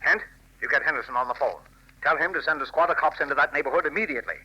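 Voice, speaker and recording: Newspaper chief: middle-aged blusterer, indignant and commanding, hard bright tone, 1940s radio style. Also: male voice, a little high, very fast, husky, full character voice, poor audio. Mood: indignant, gruff. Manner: blustering, emphatic, bossy.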